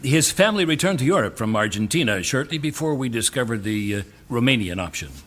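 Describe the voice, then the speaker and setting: A man acting in an audio drama as a businesslike, assured chairman of a secretive project, speaking in a formal, measured way. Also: medium pitch, expressive, fairly bright tone. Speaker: meeting chairman.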